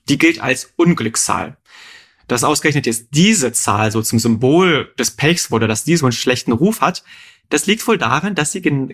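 Ein Mann aus dem Rheinland, der als Co-Moderator im Podcast locker etwas erklärt und seinem Gesprächspartner geduldig Rechenfragen stellt. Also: male voice, a little high, fairly bright tone. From Rhineland, Germany. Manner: patient, quizzes his co-host with questions. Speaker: podcast co-host explaining numbers. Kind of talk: explanatory conversational chat.

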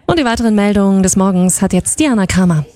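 Crisp woman reading radio news. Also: mid-range voice, expressive, mellow, no echo. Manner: crisp, measured. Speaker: newsreader.

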